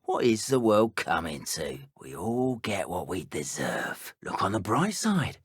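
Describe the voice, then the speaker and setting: An actor voicing a menacing, petty and cruel British dark wizard henchman in a video game, with a theatrical, taunting delivery. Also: deep voice, huge pitch swings, a little slow, slightly rough, dry recording, studio quality, heavily acted. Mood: mocking, cold. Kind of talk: video game enemy voice lines.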